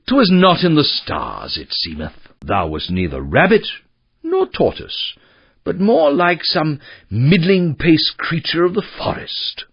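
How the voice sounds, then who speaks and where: An actor playing a pompous, florid Shakespearean orator who declaims in mock-Elizabethan style for a video game. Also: male voice, fairly high pitch, husky, no echo, dull audio, full character voice. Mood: consoling, lofty. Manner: pompous, declamatory, sweeping delivery. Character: theatrical Shakespearean male orator, grandiose, mock-tragic, florid.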